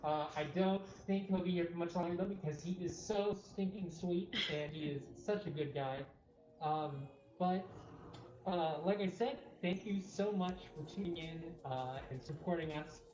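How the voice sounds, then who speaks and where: A woman's voice: on the low side, normal speed, darkish tone, slight background noise. A woman casually hosting a livestream walkthrough in an informal way. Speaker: cat cafe host. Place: indoor cat cafe room. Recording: roomy echo, faint music behind. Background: faint music.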